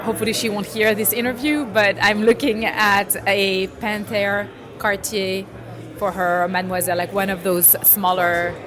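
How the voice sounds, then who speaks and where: A middle-aged woman from France, talking casually in an interview, animated and laughing, often trailing off and restarting. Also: medium pitch, moderate pace. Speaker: interviewee, watch collector. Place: busy indoor event. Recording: crowd chatter behind.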